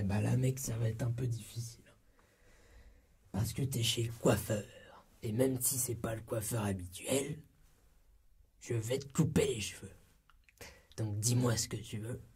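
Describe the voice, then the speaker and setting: A young man playing a cheeky, laid-back ex-con turned rookie barber in a comedy ASMR roleplay, soft-spoken and breathy, with slangy, hesitant talk. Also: mid-range voice, measured pace, pauses often. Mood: mock-menacing, teasing. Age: young adult. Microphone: close binaural microphone.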